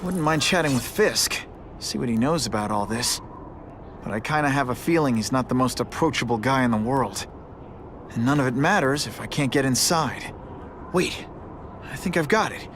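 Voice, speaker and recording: An actor playing a young male hero, wisecracking and energetic, who works as a part-time photographer. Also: mid-range voice, normal speed, slight background noise, close to an everyday voice. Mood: quippy. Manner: quippy, energetic.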